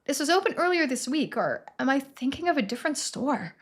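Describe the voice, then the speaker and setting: A woman's voice: high-pitched, big pitch swings, a little fast, pitched above the performer's own voice. A woman voicing a tense, bewildered female small-town doctor in a story game, urgent and disbelieving.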